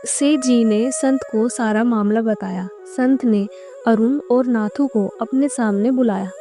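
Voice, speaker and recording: A woman narrates a cartoon moral tale from a script. Her voice is soft-edged and mellow, sitting fairly high, with light music behind her. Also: slow.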